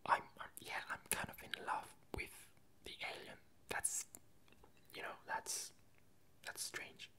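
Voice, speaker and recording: An ASMR creator chatting casually in a breathy, unvoiced whisper, in a halting, rambling way with frequent self-corrections. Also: pushed and bright.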